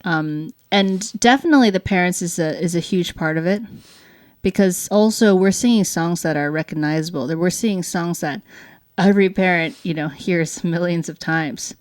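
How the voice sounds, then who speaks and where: A woman's voice: on the low side, normal speed. A woman chatting informally and explaining things in a casual, loosely phrased way, often saying "like". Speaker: show co-host, parent.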